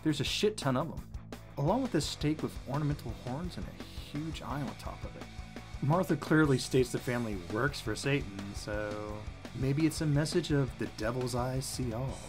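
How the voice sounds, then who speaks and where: A man's voice: mid-range voice, normal speed, music in the background. A man co-hosting a scripted explanatory commentary on a cartoon. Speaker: co-host.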